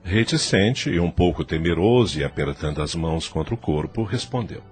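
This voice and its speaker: Male narrator, Brazilian accent, deep voice, lively intonation, scripted audio novel, clear music bed. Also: normal speed.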